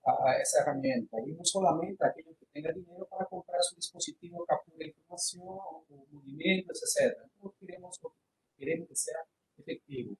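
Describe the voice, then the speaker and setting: A man's voice: high-pitched, a little fast, low-quality recording. A man, giving an online university lecture with many fillers and restarts, slipping into Portuguese words. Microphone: computer microphone on a video call.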